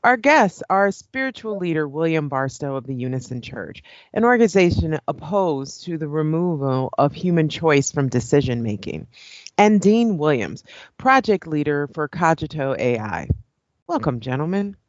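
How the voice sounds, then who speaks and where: An actress plays a female moderator in an audio drama. She presents with composure and animated intonation. The recording is dry and a touch muffled. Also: deep voice, moderate pace, no room sound. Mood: composed, brisk. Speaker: debate show host. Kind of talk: radio play presenter acting.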